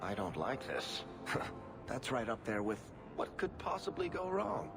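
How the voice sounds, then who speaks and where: A man's voice: mid-range voice, very animated, measured pace, creaky. A man playing a teasing, witty companion in a video game, with sardonic, playful banter. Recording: very loud music behind the voice.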